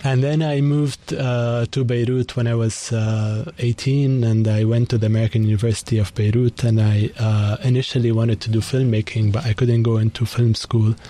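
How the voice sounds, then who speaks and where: Guest, young man from Lebanon, mellow tone, quick thoughtful delivery with fillers, radio studio. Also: mid-range voice, steady tone. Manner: fast, thoughtful, with many fillers. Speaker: guest, magazine founder. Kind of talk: radio interview conversation.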